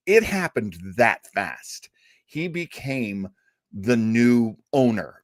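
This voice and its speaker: A man's voice: fairly low pitch, no room sound, clean studio sound. A man speaking as a radio show host, giving a casual, rambling live introduction like an enthusiastic, chatty storyteller. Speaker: host of an old-time radio listening show.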